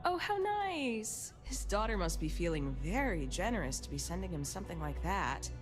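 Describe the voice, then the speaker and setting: An actress voicing a coy, polished hotel keeper with a teasing manner in a science fiction video game. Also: female voice, fairly low pitch, big pitch swings, moderate pace, over music. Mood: pleasantly polite. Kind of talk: video game character dialogue.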